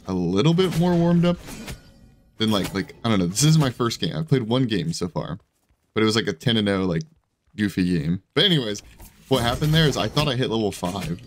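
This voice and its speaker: A man with a lowish, hoarse and scratchy voice talks through his own match in casual, rambling commentary. He trails off, restarts and fills gaps with loose filler as he plays. A faint hiss sits under the voice. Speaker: gaming video creator. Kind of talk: live gameplay commentary.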